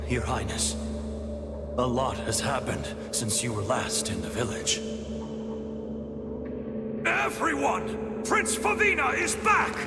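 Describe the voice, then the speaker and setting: A excitable fantasy game villager speaks high and slow, with huge swings in pitch. He is effusive and exclamatory, and the voice rings with long reverb. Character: excitable villager overjoyed at the prince's return. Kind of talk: dramatic video game acting. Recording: reverberant, loud music underneath.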